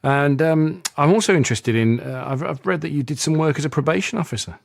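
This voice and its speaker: A man's voice: a little high, quick pace, creaky, no room sound, studio quality. A man hosting an interview on the radio, chatty and asking questions with plenty of tag phrases. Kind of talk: interview host.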